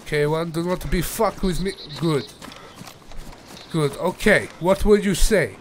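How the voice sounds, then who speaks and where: A man's voice: fairly high pitch, unhurried, a little hiss or hum, close to an everyday voice. Man putting on a brash Russian commander. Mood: mocking. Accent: put-on Russian. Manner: broken English, bragging, mocking. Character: crude boastful Russian male squad commander. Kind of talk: in-game voice chat roleplay.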